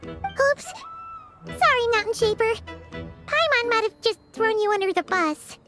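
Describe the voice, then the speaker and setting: A woman voicing a tiny, chirpy, cheeky childlike fairy companion in an anime-style game, with a squeaky delivery. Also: expressive, unhurried, over music. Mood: cheeky, surprised. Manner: squeaky, refers to self in third person.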